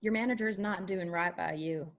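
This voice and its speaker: A woman's voice: fairly low pitch, brisk, close to an everyday voice. A woman reading the part of a bold, outspoken young bar manager in a screenplay table read. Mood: frank, pressing.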